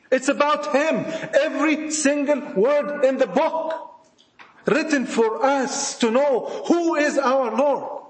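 A man with an Egyptian accent preaching a sermon to a congregation, emphatic and repetitive, putting rhetorical questions to his listeners. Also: very high-pitched. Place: church hall with a congregation. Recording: echoey room.

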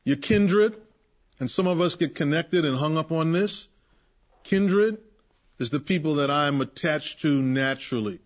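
A man's voice: a little high, animated, a little slow. A man leading a group Bible discussion, preaching emphatically and acting out the voices he quotes. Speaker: Bible study leader. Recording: muffled lo-fi recording.

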